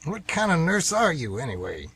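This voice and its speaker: A man's voice: on the high side, wildly swooping pitch, normal speed, crowd noise. A man playing a folksy, nostalgic older baseball fan who rambles emphatically in an amateur radio play. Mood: puzzled, defensive.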